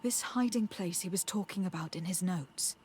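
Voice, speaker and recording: An actress playing a resolute young noblewoman diplomat in a video game, speaking in a measured, earnest and formal way. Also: on the low side, a little mellow, husky. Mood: determined. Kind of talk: video game cutscene acting.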